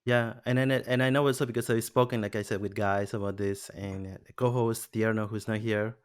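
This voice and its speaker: A man's voice: fairly low pitch, fairly even intonation, a little fast, clean tone, dry recording. A man from Peru hosting a casual podcast discussion, thoughtful and hesitant, with frequent restarts. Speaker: podcast host.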